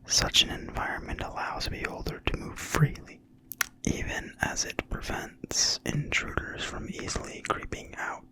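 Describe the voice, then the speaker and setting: A narrator reading from a book entirely in a quiet, breathy whisper, slowly and deliberately, in ASMR style. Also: poor audio. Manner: slow, deliberate, hushed reading with pauses. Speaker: narrator reading from a fantasy game manual.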